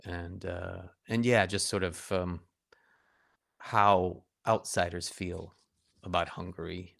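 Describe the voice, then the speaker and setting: A man's voice: low-pitched, steady tone, slow pace, gravelly, fairly bright tone. A man hosting a live interview, asking long, rambling questions full of fillers. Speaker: host.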